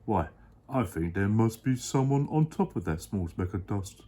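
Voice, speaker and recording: A man voicing a gentle, kind-hearted male elephant, reading a storybook aloud in an earnest, pleading way. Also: on the low side, expressive, normal speed. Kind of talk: reading a picture book aloud.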